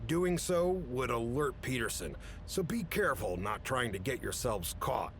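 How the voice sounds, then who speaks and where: Man playing a stern agency chief, rumbly noise. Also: very animated, vocal fry, a bit bright, full character voice. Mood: firm. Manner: authoritative, measured, matter-of-fact. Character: gruff, businesslike male chief briefing agents on a mission. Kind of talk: audio drama acting.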